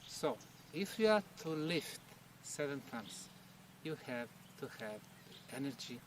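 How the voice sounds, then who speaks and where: A man giving an on-site explanatory presentation as a researcher, speaking emphatically in short, deliberate phrases. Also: medium pitch, big pitch swings. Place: outdoors at a temple site. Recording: noisy outdoor recording, distant mic.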